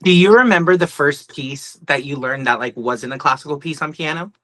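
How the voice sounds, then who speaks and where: A male podcast host with a fairly high voice and a bright, crisp edge. He asks his questions in a casual chatty style, and the sound is close and dry. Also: brisk, no echo. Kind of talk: casual interview chat.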